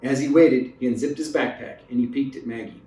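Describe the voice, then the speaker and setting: A man's voice: mid-range voice, fairly quick, mellow. A man reading a children's story aloud as a narrator, recorded in a roomy space away from the mic. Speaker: storybook reader. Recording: roomy echo, distant mic.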